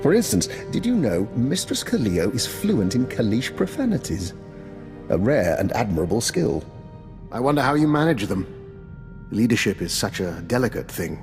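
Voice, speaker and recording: An actor playing an urbane, calculating old doctor and veteran spy in a video game, with a refined, courteous, understated manner. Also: male voice, fairly low pitch, big pitch swings, normal speed, big-room sound, music in the background. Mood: genial, musing. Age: older. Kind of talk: video game dialogue acting.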